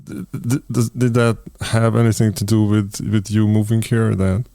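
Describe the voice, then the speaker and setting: Smooth-voiced man hosting. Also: on the low side, little pitch movement, moderate pace, dark tone, no room sound, clean studio sound. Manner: hesitant, many fillers and restarts. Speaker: podcast host. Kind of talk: online interview.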